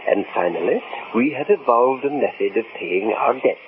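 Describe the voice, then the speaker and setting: Actor as pompous old doomsday professor, lively delivery. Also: male voice, medium pitch, normal speed, close to an everyday voice. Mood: polite, resolute. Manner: pompous, formal, declamatory. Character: elderly male former professor, courtly doomsday fanatic. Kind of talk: old-time American radio drama acting. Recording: noisy, narrow-band vintage radio recording.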